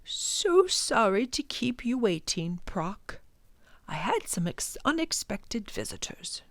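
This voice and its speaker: Storybook professor, male, read by a woman: courteous, easy, earnest, gently determined, lifted a little above the narration. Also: a little high, sing-song, slow pace, no echo, voice pushed up, heavily acted. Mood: apologetic. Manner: quiet, polite, reasoning. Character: polite, easygoing male professor, quietly determined.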